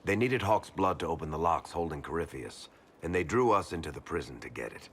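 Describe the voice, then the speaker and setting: A man's voice: very deep voice, animated, brisk, fairly bright tone, a little hiss or hum. An actor playing a wry, world-weary man in a fantasy game, telling things in a casual, frank and emphatic way. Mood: uneasy, wry. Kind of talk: video game voice acting.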